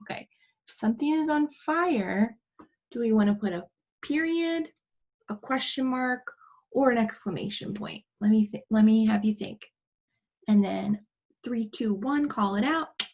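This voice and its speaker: A woman teaching a children's English lesson, explaining in simple, deliberate phrases and posing questions to her pupils. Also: on the high side, lively intonation, gravelly, a little mellow.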